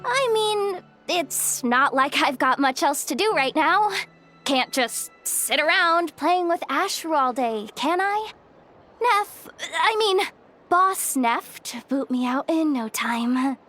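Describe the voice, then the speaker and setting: A woman's voice: high-pitched, animated, slow, pushed and bright, soft background music, heavily acted. An actress playing a breezy, self-assured, streetwise young woman in a video game, talking in a casual, teasing, slangy way. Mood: teasing, laid-back. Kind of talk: video game character acting.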